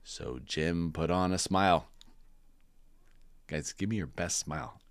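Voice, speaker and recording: A man reading a children's storybook aloud in animated narration with casual asides, hosting as he goes. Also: sits low, a little slow, no echo. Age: adult parent. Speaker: storybook reader and channel host.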